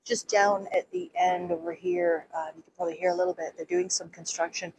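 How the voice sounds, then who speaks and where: A woman's voice: lowish voice, sing-song, a little fast, gravelly, darkish tone, a little hiss or hum, poor audio. Chatty woman vlogging outdoors by phone. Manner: chatty, many ums and restarts. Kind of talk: casual walking vlog. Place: outdoors on a city pier. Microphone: phone at arm's length.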